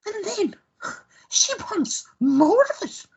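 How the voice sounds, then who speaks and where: Woman acting a high-pitched, jealous woman. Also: very animated, takes it slowly, creaky, darkish tone, muffled recording, heavily acted. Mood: wounded, tearful. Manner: indignant, melodramatic. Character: jealous, sharp-tongued woman scolding her unfaithful lover. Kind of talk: radio play acting.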